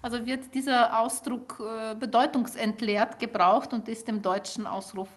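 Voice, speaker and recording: A middle-aged woman, a native Ukrainian speaker, answering interview questions as a linguist in a measured, explanatory way with frequent fillers. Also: fairly high pitch, fairly even intonation. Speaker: linguist guest.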